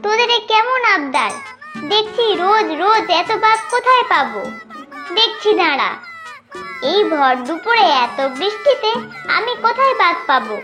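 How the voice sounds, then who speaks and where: A woman's voice: very high-pitched, sing-song, moderate pace, over music. A woman voicing a bossy, scolding mother fox, protective of her cubs, in a children's fairy-tale cartoon. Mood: exasperated. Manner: nagging, demanding.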